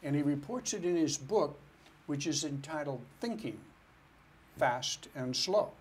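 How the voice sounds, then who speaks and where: A man's voice: a little high, lively intonation, measured pace, slightly rough. A man who is a financial planner, answering interview questions in an earnest, step-by-step way. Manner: earnest, explains step by step with restarts.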